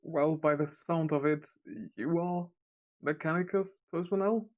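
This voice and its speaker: A man's voice: on the high side, steady tone, unhurried, narrow, tinny audio, voice pushed up, close to an everyday voice. A man voicing a polite, weary blind woman held captive in a collar.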